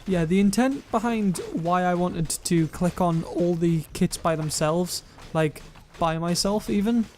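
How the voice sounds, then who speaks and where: A young man with a self-described monotone voice, rambling through gameplay commentary and viewer comments, often correcting himself mid-sentence. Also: high-pitched, unhurried, slight background noise. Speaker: gaming YouTuber.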